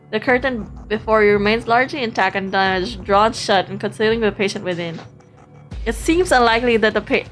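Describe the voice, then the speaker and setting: A woman live-streaming a visual novel, reading it aloud expressively and playfully, breaking off into exclamations. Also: medium pitch.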